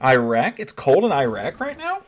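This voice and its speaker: A man talks loosely to his live stream audience, answering chat as he goes. He thinks out loud and often stops to rephrase before he finishes a thought. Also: mid-range voice, wildly swooping pitch, normal speed. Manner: chatty, reads chat aloud, often restarts and thinks out loud. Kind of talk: casual livestream chat.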